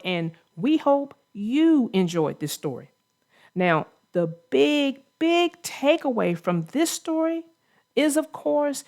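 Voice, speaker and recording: Unhurried, higher-pitched woman hosting children's story chat. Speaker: host and children's book narrator. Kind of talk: casual discussion of a children's story for young listeners.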